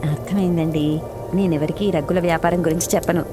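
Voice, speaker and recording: A woman's voice: lowish voice, fairly even intonation, fast, over music, lightly acted. A woman voicing a doubtful, obedient pigeon wife in a cartoon story, hesitant and deferential.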